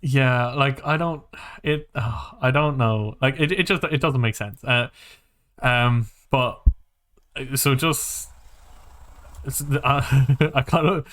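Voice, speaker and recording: A man co-hosting a casual film and game podcast, talking in an animated, halting, rambling way with plenty of swearing. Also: mid-range voice. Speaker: podcast co-host.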